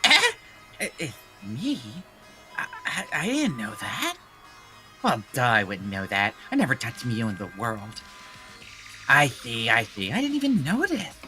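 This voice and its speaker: Man voicing animated, bright teenage boy prankster. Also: medium pitch, a little slow, pauses often, faint music behind, full character voice. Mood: mischievous. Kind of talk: fan dub of an anime mystery game.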